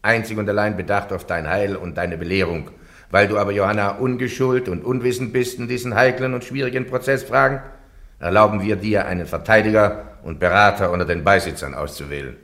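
A man's voice: fairly low pitch, fairly bright tone. An actor playing a bishop who formally admonishes and charges an accused woman. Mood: solemn. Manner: solemn, formal, measured. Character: solemn bishop presiding over a trial. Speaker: bishop and judge. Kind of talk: radio play acting.

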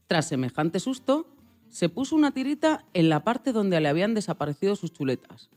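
Animated children's story narrator over music. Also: mid-range voice, brisk. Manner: expressive storytelling.

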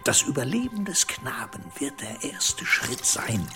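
An actor playing a stern, scheming high-ranking medieval churchman in a German radio drama, measured and commanding. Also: sing-song, music in the background. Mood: calculating, insistent.

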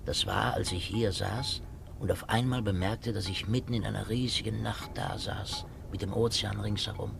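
An actor playing a dreamy, rapturous small clerk aboard a ship in a 1950s radio drama, musing lyrically in awe. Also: male voice, on the low side, steady tone, normal speed, creaky, music in the background. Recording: very noisy old radio recording, ambience.

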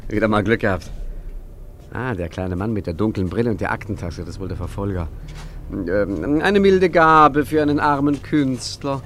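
A man's voice: fairly low pitch, moderate pace, fairly bright tone, a little hiss or hum, lightly acted. A man acting in a 1950s German radio play, playing a roguish, talkative art forger with a wry, mock-grandiose manner. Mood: teasing. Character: roguish, wry male art forger posing as poor artist.

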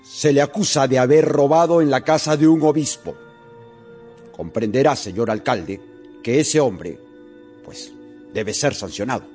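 Man acting a rigid police inspector, medium-pitched, deliberate, brooding, formal, radio drama, faint music. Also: darkish tone, close to an everyday voice. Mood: formal, stern. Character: rigid, suspicious police inspector devoted to the law.

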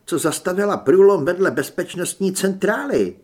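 A man voicing a commanding young woman monster hunter who speaks briskly and urgently in an audiobook. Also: sits high, animated, a little mellow, pitched above the performer's own voice. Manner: brisk, giving orders.

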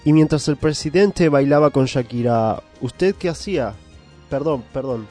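A man plays a pompous, fussy male correspondent in a radio comedy sketch. He speaks with mock formality at a middle pitch and sprinkles English into his Spanish, with faint music behind him. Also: unhurried, warm tone, close to an everyday voice. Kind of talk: parody news interview.

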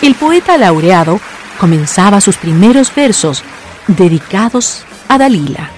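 A woman narrates a scripted radio drama. Her medium-pitched voice moves with lively intonation. It rings in a long reverb, with music behind. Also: measured pace.

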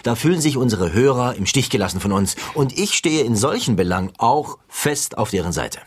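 A man playing a prim, officious radio interviewer with a husky voice and a stilted, pedantic way of talking in a satirical dialogue. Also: medium pitch, very animated, a little fast. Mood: pedantic, reproving.

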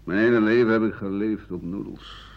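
A man playing a weary, embittered writer of 67 in a radio play, grumbling in halting phrases that trail into breathy murmurs. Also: lowish voice, fairly even intonation. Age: older. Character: embittered, weary 67-year-old French writer and doctor, pessimistic. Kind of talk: radio play monologue as interview answers. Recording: dull, hissy 1980 radio recording.

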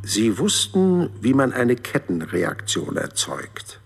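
A man's voice: medium pitch, huge pitch swings, takes it slowly, creaky, husky, heavily acted. An actor playing a sly, unassuming Swedish man who recalls his exploits in a dry, understated way in an audio drama. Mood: wry. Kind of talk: audio drama character monologue.

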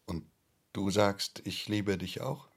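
An actor playing a husband quietly probing his wife in an intimate radio drama, in a hushed, husky voice. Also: sits low, slow pace, full character voice.

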